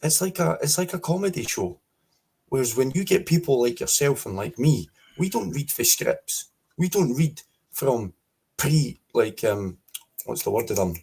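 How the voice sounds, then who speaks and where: A Scottish man speaking forcefully as a guest in a political interview, repeating his points with emphasis. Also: mid-range voice, big pitch swings, moderate pace, creaky, breathy edge, poor audio. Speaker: podcast guest.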